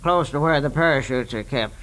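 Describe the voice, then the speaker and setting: An actor playing a brusque, bossy air show boss in 1940s radio drama, curt but prone to stammering. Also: male voice, mid-range voice, fairly quick, fairly bright tone, close to an everyday voice. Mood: suspicious. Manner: hesitant stammers between curt orders.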